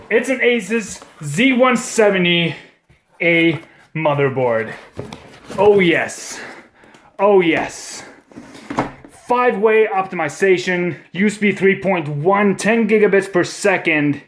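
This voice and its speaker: A young man with a Swedish accent, unboxing computer parts in a casual, excitable vlog at home, swearing and joking as he goes. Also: high-pitched. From Sweden. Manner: excitable, swears a lot, jokey. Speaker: gaming vlogger. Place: at home indoors. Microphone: webcam.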